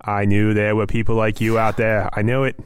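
A man reading the part of a woman cleaning columnist, eager and squeamish, in a deadpan, joking comic role play on a dry podcast mic. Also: fairly low pitch, fairly quick, clean tone, no room sound, natural voice.

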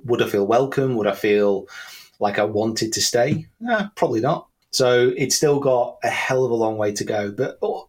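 A man's voice: lowish voice, breathy edge. A man in his thirties with an English accent, chatting casually and self-deprecatingly as an interview guest. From England. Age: 30s. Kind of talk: casual conversational chat.

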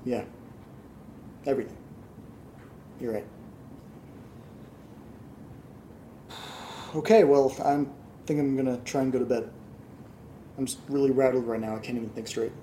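A man's voice: mid-range voice, steady tone, normal speed, long pauses, warm tone, slight background noise, natural voice. A man acting an exasperated, rattled young American in a naturalistic horror audio drama, emphatic and sweary. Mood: subdued, rattled.

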